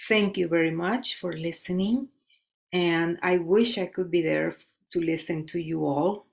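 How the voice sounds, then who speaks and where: Slow, measured woman. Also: fairly low pitch, creaky. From Colombia. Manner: slow, measured recitation with Spanish words. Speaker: poet. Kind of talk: poetry reading.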